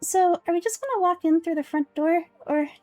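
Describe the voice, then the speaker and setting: Little girlish fairy companion, squeaky high, excitable, indignant, fantasy game, background music. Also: fairly quick, no echo. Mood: nervous, chirpy.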